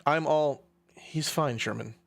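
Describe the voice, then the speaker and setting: A man's voice: on the high side, takes it slowly, gravelly, no room sound, clean studio sound. Man voicing easygoing, weary young soldier. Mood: mildly weary. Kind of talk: acting out visual novel dialogue.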